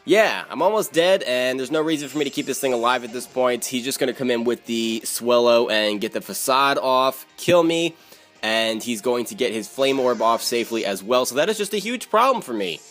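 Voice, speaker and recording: A man's voice: medium pitch, lively intonation, a little fast, a bit bright. Man giving game commentary over music. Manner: repeats words and phrases for emphasis. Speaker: gamer narrating own battle video. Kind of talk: video game battle commentary.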